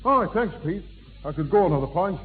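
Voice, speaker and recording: A man's voice: sits high, expressive, fairly quick, phone-quality sound, faint music behind. A man playing a genial beer-loving pub regular with a Northern English accent, pompously mangling long words in a 1960s radio sitcom. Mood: contented. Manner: jolly, pompous malapropisms. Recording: thin, noisy old radio broadcast.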